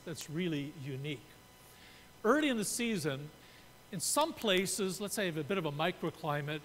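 A man in his sixties from Canada, preaching a sermon from a pulpit and explaining his points while gesturing. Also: a bit bright. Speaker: pastor. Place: church sanctuary. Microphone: pulpit mic. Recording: reverberant church room, faint rumble.

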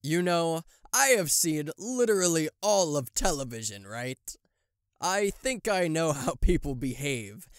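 A man's voice: a little high, dry recording, clean studio sound. A man voicing a possessive, smug TV-headed demon CEO in a theatrical, condescending yandere roleplay spoken to the listener. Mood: indignant. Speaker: voice actor.

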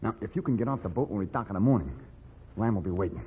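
An actor playing an urgent, hard-talking criminal accomplice in 1940s radio acting style. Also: male voice, lowish voice, lively intonation, rapid-fire, lightly acted. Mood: urgent, conspiratorial. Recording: tinny old radio, reverberant, faint noise.

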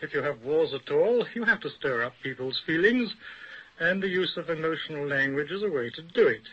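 A man speaking as an academic expert in a radio discussion, explaining deliberately and articulately in a didactic way. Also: on the high side, lively intonation, slightly rough. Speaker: academic expert guest, professor. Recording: dull old radio recording.

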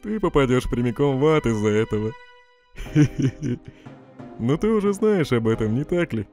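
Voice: mid-range voice, animated, normal speed, close to an everyday voice. An actor playing a laconic, sardonic male skeleton trickster with a dry, sarcastic manner in a comic dub. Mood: resigned, taunting. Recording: loud music under the voice.

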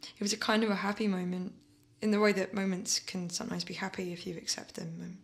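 An actress playing a haunted, obsessively loving daughter in an audio play, speaking with halting intensity and repeating her words. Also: mid-range voice, steady tone, lightly acted. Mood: serene, obsessive. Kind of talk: dramatic monologue, audio play.

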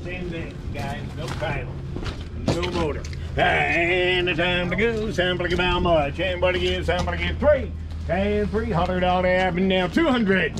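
A man working as an auctioneer, calling bids in a rapid rhythmic chant with filler syllables at an outdoor storage lot. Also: high voice. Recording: outdoor camera audio with loud noise.

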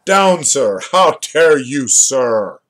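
A man's voice: mid-range voice, very animated, very slow, fairly bright tone, full character voice. A man reading a novel aloud as a brash, theatrical young man, bombastic and jeering. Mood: boisterous, mocking.

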